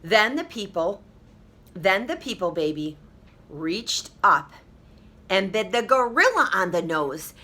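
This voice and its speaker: A woman with a bright voice and lively, swooping intonation reads a picture book aloud as a teacher. She speaks straight to children in an encouraging, expressive way. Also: mid-range voice, slow pace. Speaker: teacher reading aloud to pupils.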